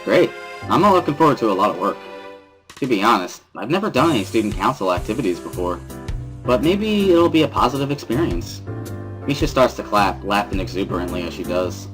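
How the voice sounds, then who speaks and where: Male narrator for an amateur visual novel reading, deep register, clear music bed behind him. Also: big pitch swings, fairly quick, fairly bright tone. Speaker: amateur reader and narrator of a series. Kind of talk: first-person dramatic reading of a visual novel. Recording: prominent background music under voice. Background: instrumental music.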